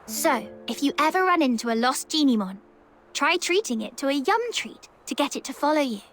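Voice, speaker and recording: A woman voicing a gentle, earnest young woman who guides others in a video game, speaking softly and a little hesitantly. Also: high voice, animated, fairly quick, slight background noise. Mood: friendly, explaining. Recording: loud game music behind.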